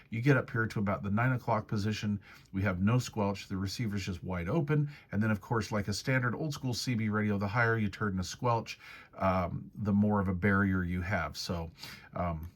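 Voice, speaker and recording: A man giving a casual, hands-on product review walkthrough. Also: lowish voice.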